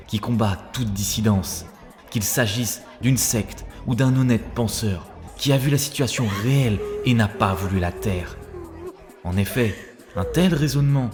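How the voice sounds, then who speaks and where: A man's voice: on the low side, darkish tone, natural voice. A man voicing an earnest, rebellious young male student who speaks in a preachy, rhetorical way in a cartoon. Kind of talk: scripted cartoon dialogue. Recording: long hall reverb, music bed.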